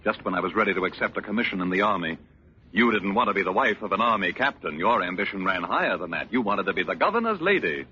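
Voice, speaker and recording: A sardonic, crippled husband in a vintage radio drama. His lines swing widely in pitch, with icy formal politeness over real bitterness. The recording is dull, with faint hiss. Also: animated, fast, bright, forceful tone. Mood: bitter, taunting. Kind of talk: 1940s radio acting.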